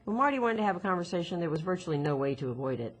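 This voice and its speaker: A woman's voice: on the low side, sing-song, very fast, slightly rough. A woman narrating a children's novel expressively, reading from the text. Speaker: audiobook reader, teacher. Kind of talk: expressive scripted story narration.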